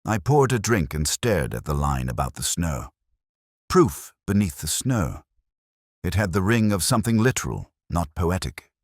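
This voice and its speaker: A man playing a weary, introspective police detective, narrating a crime drama in the first person with reflective, literary, measured phrasing. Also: low voice, no echo, clean studio sound.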